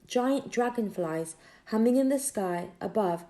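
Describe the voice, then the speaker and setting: A woman narrating a children's audiobook expressively, with deliberate storytelling phrasing. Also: on the high side, animated, mellow. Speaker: audiobook reader.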